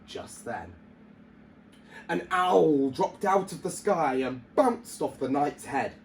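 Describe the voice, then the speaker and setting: Man reading expressively, slow and animated. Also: on the high side, creaky, slight background noise. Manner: theatrical, emphatic storyteller delivery. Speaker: primary school teacher reading storytime. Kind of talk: expressive children's story reading.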